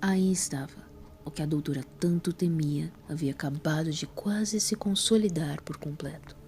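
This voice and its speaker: A woman's voice: low voice, moderate pace, a little mellow. A woman with a Brazilian accent reading scripted narration for a dramatic audio story.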